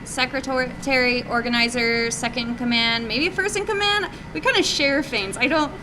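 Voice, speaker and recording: A woman's voice: a little high, moderate pace. A woman answering questions informally at a meetup as an indie game developer, casual and a little hesitant, thinking aloud. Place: indoor meetup presentation, live. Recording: noisy live room recording, steady hum.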